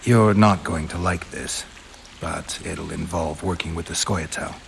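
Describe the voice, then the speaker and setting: An actor playing a stoic, world-weary monster hunter in a video game, terse and dryly understated. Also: male voice, low voice, unhurried, creaky, slight background noise. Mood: resolute. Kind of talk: video game cutscene acting. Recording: rain and ambience behind the voice.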